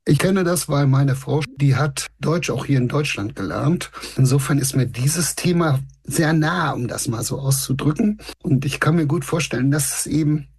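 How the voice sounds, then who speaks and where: Gravelly husky man hosting a podcast. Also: on the high side, normal speed, a little mellow. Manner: friendly, formal greeting, asks questions. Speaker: podcast host. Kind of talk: podcast interview.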